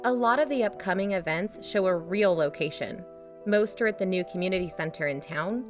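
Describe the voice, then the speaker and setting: A woman's voice: on the low side, a little fast, over music, lightly acted. A woman playing a curious, practical investigator in a scripted podcast drama, talking in a matter-of-fact, conversational way.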